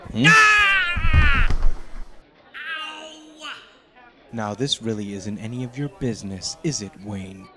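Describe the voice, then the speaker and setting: Man acting a smug, low-voiced teenage bully. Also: normal speed, heavy background noise, close to an everyday voice. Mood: cool menace. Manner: smug, mocking. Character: cocky, taunting teenage male school bully. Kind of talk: audio drama acting. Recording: outdoor crowd ambience behind voice.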